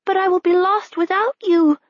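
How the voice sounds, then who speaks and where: A woman's voice: sits high, moderate pace, no room sound, pitched above the performer's own voice, natural voice. A woman playing an earnest young princess. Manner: earnest, pleading. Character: brave young princess, hopeful and loyal. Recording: slightly dull, dry recording.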